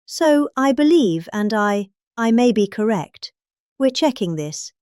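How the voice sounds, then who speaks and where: A middle-aged woman with a British accent giving a dramatic reading of a political speech, emphatic and deliberate in her phrasing. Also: on the high side, lively intonation, a little mellow, no room sound, studio quality. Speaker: reader.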